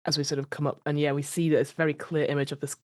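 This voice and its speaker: Low-voiced woman improvising at a tabletop game. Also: flat delivery, racing. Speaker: tabletop roleplaying player. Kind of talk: casual improvised scene description.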